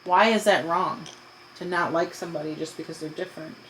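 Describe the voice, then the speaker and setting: A woman's voice: on the low side, steady tone, fairly quick, a bit bright, slight background noise, poor audio. An adult woman talking casually with a child, patiently explaining and asking questions. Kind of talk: casual conversational discussion with a child.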